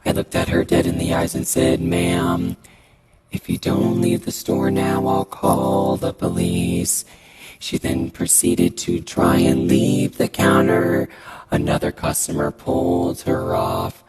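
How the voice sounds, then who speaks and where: A woman telling a deadpan personal story through a voice effect. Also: very deep voice, slow pace, creaky, over loud music.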